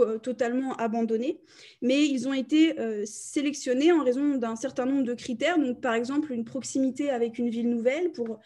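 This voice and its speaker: A young woman presents her research at an academic seminar, reading from prepared notes and stumbling into frequent hesitations. Her voice sits somewhat high, with a mellow, warm tone. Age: young adult.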